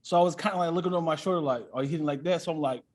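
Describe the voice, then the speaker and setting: A male comedian with a high-pitched voice talks as a podcast guest. He tells things in a loose, comic storytelling style, animatedly voicing bits of dialogue as he goes. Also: very fast. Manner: animated, acts out quoted dialogue.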